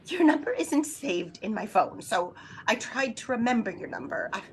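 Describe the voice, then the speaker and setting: An actress playing an anxious, imperious invalid wife in a throwback radio thriller, agitated, demanding and melodramatic. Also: female voice, mid-range voice, very animated, normal speed, slight background noise. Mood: alarmed, flustered. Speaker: lead actress in an audio drama.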